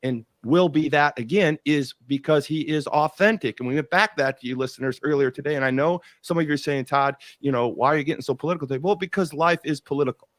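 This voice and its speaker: A man's voice: on the high side, fast, a bit bright, no room sound. A man hosting an interview podcast, talking enthusiastically and rambling with many restarts. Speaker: podcast host. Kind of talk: interview host, casual chat.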